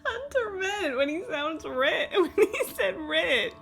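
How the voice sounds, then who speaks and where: Bright, creaky-voiced woman streaming games. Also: very high voice, very animated, slow. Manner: joking, irreverent, swears casually. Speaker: game streamer. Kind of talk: casual live game-stream commentary. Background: game music.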